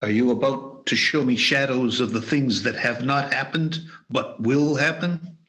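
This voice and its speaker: A man's voice: mid-range voice, unhurried, fairly bright tone, lightly acted, rough recording. A man playing a fearful, hesitant old miser in an amateur radio play recorded over a video call. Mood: anxious. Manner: hesitant, pleading. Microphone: home video call mic.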